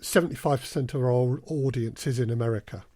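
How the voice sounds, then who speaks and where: A man's voice: mid-range voice, fairly quick, warm tone, no room sound. Man explaining in a dry-sounding interview. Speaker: guest, audio drama producer. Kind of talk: casual podcast interview.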